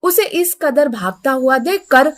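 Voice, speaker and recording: A female storyteller reads a children's fable. Her voice is high and she takes her time, with birdsong and ambient sound behind her. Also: expressive, measured pace, slight background noise. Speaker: story narrator. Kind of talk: scripted narration of a children's moral story.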